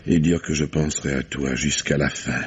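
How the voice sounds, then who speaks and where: A man's voice: a bass voice, fairly even intonation, moderate pace, husky, heavily acted. An actor playing a desperate, guilty man who is bitter and lovesick, in a 1960s French radio drama. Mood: bitter, wistful. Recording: dull old radio recording with faint hiss.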